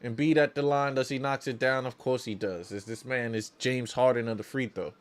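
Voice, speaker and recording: A man's voice: mid-range voice, fairly even intonation, a little fast. A man hosting a watch-along, calling basketball live as a partisan fan in slangy talk, often repeating phrases for emphasis.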